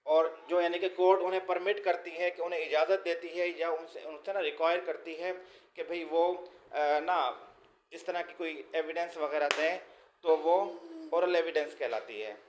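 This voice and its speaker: A man's voice: fairly high pitch, moderate pace, a little hiss or hum. A man with a Pakistani accent giving an exam lesson as a law lecturer, reading items out and then explaining them by repeating and rephrasing. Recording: echoey, roomy sound.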